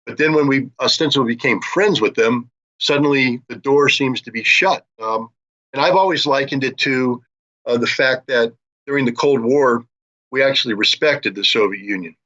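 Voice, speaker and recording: An older or middle-aged American man discussing geopolitics in a deliberate, explanatory way, as an interviewer with military experience. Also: medium pitch, pushed and bright, highs cut off.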